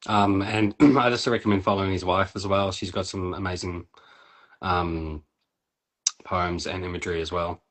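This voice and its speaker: A man with a deep voice hosts a poetry reading. He is casual and appreciative, setting out his phrases in a measured way with small pauses. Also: flat delivery.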